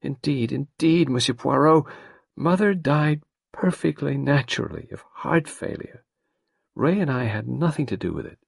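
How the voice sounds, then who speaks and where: A man's voice: mid-range voice, expressive, normal speed, gravelly, husky, dry recording, clean studio sound, pitched above the performer's own voice. A man reading an audiobook, voicing a distraught young woman who pleads and falters. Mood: pleading.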